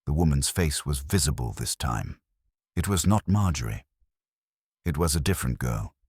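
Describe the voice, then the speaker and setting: A man's voice: a bass voice, big pitch swings, normal speed, darkish tone, slightly rough, no echo, clean studio sound. A man reading scripted narration of a detective mystery story.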